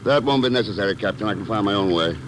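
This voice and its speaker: An actor playing a cool, self-assured adventurer in a 1950s radio drama, speaking in a terse, unruffled way. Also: male voice, on the low side, rapid-fire, lightly acted. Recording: old noisy 1950s radio broadcast.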